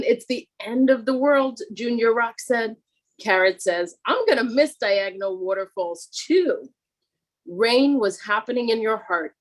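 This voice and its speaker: Woman gently coaxing her child to read. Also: fairly high pitch, rough recording. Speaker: poet's mother. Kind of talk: helping her young child read poems. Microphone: video call.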